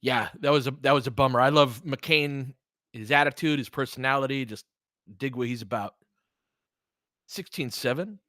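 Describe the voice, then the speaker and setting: Casting: American male sports streamer, bright forceful voice, close dry sound, informal play-by-play mixed with chat. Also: medium pitch, moderate pace, no room sound. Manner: conversational, reacting to chat comments. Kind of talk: casual live sports commentary and chat.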